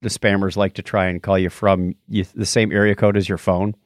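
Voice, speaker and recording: A man's voice: low voice, flat delivery, brisk, dry recording, clean studio sound. A man co-hosting a podcast in casual chat, telling jokey stories and acting out both sides of conversations. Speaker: podcast co-host.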